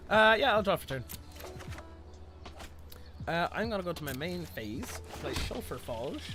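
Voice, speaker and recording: A man's voice: high voice, big pitch swings, slow, long pauses. A young man from Ireland with a mild Irish accent, streaming a card game and chatting with viewers. He rambles, restarts often and pokes fun at himself. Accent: Irish, Dublin area, mild. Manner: rambling, many restarts, chatty and self-mocking. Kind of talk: casual stream chat while playing a card game. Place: small room indoors. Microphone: headset. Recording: game music behind voice.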